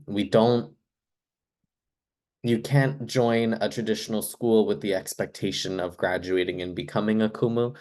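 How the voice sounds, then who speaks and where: Low-voiced man, hesitant and soft. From Hawaii. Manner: soft-spoken, many hesitant ums and pauses. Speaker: hula teacher. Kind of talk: personal self-introduction.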